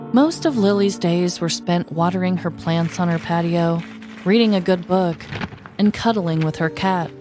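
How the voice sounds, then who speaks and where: An American woman co-hosting a podcast, moving between casual banter and scripted comedic storytelling. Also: fairly low pitch. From United States. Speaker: podcast co-host.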